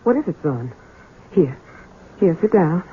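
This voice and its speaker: A careworn, gentle country mother in 1970s radio drama. She is folksy and pleading, her pitch rising and falling freely. The old broadcast is narrow with faint noise. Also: sing-song, unhurried. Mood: concerned.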